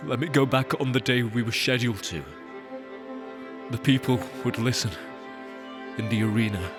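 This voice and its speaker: A man's voice: medium pitch, normal speed, natural voice. Man acting an earnest, anguished explorer over music. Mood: remorseful, resolute. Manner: earnest, emotional, dramatic delivery. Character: anguished, remorseful male space explorer, earnest and idealistic. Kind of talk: audio drama acting. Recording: loud music under the voice.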